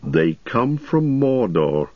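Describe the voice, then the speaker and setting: A man voicing a grim, watchful ranger who speaks low, slow and measured. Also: no echo, highs cut off, close to an everyday voice. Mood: grave. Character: grim, watchful male ranger, steady and guarded.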